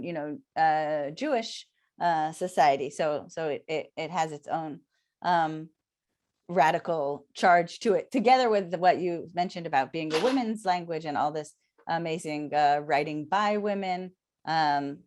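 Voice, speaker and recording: A woman, a Hebrew speaker, talking thoughtfully over a video call with many pauses and fillers. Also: fairly low pitch, darkish tone. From Israel. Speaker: academic interviewer. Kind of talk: reflective conversation.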